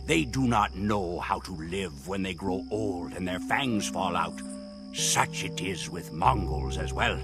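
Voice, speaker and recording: A man playing a proud Mongol warrior who solemnly narrates grand conquests in a measured, theatrical way for a game. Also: lowish voice, gravelly. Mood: somber. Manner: measured, theatrical storytelling delivery. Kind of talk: dramatic scripted narration.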